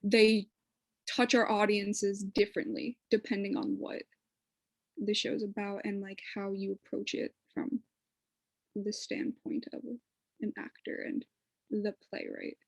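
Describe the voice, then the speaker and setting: A woman's voice: medium pitch, flat delivery, unhurried, vocal fry, no room sound, low-quality recording. A woman moderating a panel, asking her question hesitantly and correcting herself partway through.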